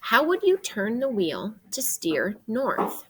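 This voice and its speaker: A woman teacher reading a children's book aloud in an expressive way, guiding young listeners with questions and pauses. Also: on the high side, heavy background noise. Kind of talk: interactive read-aloud of a children's nonfiction book.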